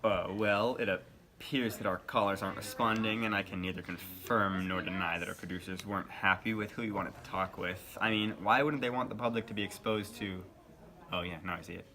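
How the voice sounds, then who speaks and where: A man's voice: sits low, steady tone, fairly quick, pushed and bright. A man playing a news co-anchor in a scripted mock newscast. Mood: flustered. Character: flustered male news co-anchor on live mock broadcast. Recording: faint background noise.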